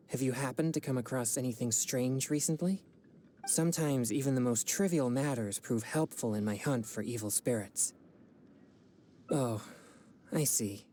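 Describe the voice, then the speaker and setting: A man's voice: medium pitch, mellow, slight background noise, close to an everyday voice. An actor playing a polite, earnest young male exorcist in a video game, with a formal, courteous manner. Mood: polite, inquiring.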